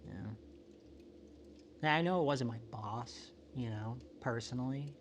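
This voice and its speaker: A man playing a solitary, introspective apocalypse survivor in a found-footage monologue, talking in a halting, self-questioning, confessional way. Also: medium pitch, slight background noise, lightly acted. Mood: weary, reflective. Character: solitary, world-weary, introspective male survivor of a quiet apocalypse.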